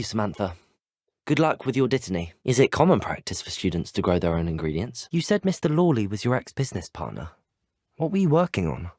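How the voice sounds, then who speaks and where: Husky, well-spoken young British wizard student. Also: male voice, fairly low pitch, dry recording, clean studio sound. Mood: polite, reflective, then courteous, inquisitive. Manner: well-spoken, courteous, formal phrasing. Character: polite, earnest, brave teenage male wizard student. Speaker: video game voice actor. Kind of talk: scripted game dialogue.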